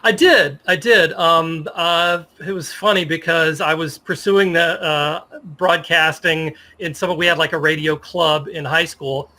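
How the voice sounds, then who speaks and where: Bright, high-voiced American man in his fifties. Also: fairly even intonation, unhurried, few pauses. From Virginia, United States. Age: 50s. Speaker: interview guest, sports media professional. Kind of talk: casual interview storytelling.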